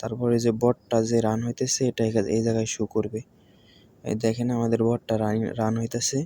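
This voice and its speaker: Flat-voiced Bangladeshi man explaining step by step. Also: mid-range voice, brisk. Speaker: tutorial presenter. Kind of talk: informal screen-recording tutorial narration. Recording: home recording with faint background noise.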